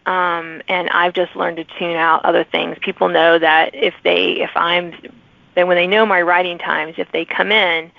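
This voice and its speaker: A woman's voice: fairly low pitch, little pitch movement, moderate pace, creaky, no room sound. A middle-aged woman hosting a conversational interview, speaking in an encouraging, affirming way. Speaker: podcast host and writer. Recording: tinny band-limited phone-like line.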